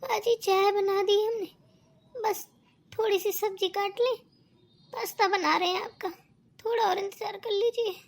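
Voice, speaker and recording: Woman playing a very high-voiced, suffering young daughter-in-law. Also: fairly even intonation, measured pace, long pauses. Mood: weary, resigned. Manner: plaintive, complaining, dutiful. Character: put-upon, suffering young daughter-in-law, meek and resentful. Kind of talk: Hindi family cartoon melodrama.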